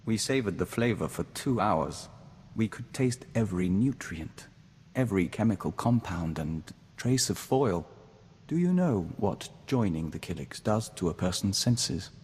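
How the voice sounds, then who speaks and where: A man's voice: on the low side, animated, measured pace, a little mellow. A man playing a serene diplomat joined to an insect hive mind in a game, speaking formally and with measure, calling himself "we.". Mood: earnest, thoughtful. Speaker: game companion character. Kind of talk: scripted game dialogue acting. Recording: reverberant hall, clear background noise.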